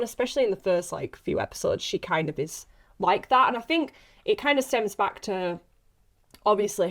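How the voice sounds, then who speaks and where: A woman in her late twenties, an actress chatting casually on a podcast in a giggly, self-deprecating way. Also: mid-range voice. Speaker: actress being interviewed.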